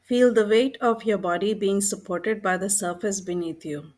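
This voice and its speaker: Calm gentle lady doing guided meditation, medium pitch, short unhurried phrases w pauses. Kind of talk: scripted guided meditation narration.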